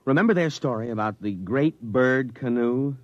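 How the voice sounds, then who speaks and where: Actor as earnest, animated man, muffled recording. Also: mid-range voice, normal speed, no echo, natural voice. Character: earnest man reasoning with his colonel. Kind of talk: 1950s radio drama acting. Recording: dull old radio recording.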